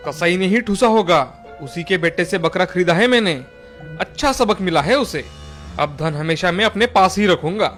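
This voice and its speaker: A man's voice: high voice, expressive, moderate pace, fairly bright tone, soft background music. A man playing a hard-working, secretive farmer husband in a moral-story cartoon, with theatrical, exclaiming delivery. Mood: pleased. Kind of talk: exaggerated Hindi moral-story cartoon dialogue.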